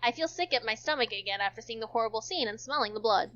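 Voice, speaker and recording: Female amateur narrator for a game stream, casual reader, bright and slightly high, muffled recording. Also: lively intonation, quick pace. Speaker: host and narrator of a visual novel stream. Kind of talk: amateur read-aloud narration with casual asides.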